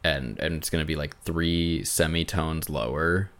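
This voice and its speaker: Very deep-voiced man in casual chat. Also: fairly bright tone. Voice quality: bassy voice. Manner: halting, with many restarts and fillers. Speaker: podcast co-host.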